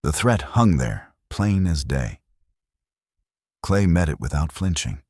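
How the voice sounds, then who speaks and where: A man narrating a frontier romance story in a slow, solemn, dramatic read. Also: rumbling low, sing-song, darkish tone, husky, dry recording, studio quality.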